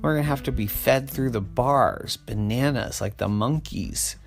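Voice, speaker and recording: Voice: medium pitch, measured pace, lightly acted. A storyteller voicing an anxious, stubborn little schoolboy in a whiny, protesting way for a children's story. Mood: worried, defiant. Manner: wailing, protesting, emphatic.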